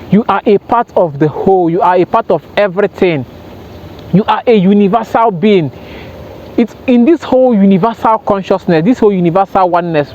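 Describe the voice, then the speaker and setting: High-pitched man giving an earnest motivational talk. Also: darkish tone. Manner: earnest, repetitive, emphatic. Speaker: self-help vlogger. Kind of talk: impromptu motivational talk to camera. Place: outdoors by water, sitting on a rail. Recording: dull lo-fi sound, outdoor noise.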